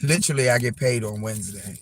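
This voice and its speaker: Hoarse man with a gravelly chest cold. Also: medium pitch, fast talker, a little hiss or hum. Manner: rambling, profane, frank. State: hoarse, losing his voice. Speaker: vlogger. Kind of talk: casual vlog monologue. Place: parked car.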